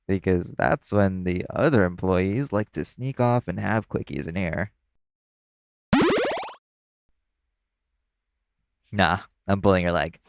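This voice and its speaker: Guy doing a chill teasing club performer for an audio rp, lowish gravelly voice, super casual. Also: dry recording, clean studio sound. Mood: playful teasing. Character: easygoing, teasing male club performer chatting with new coworker. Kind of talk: intimate audio roleplay, casual conversational acting.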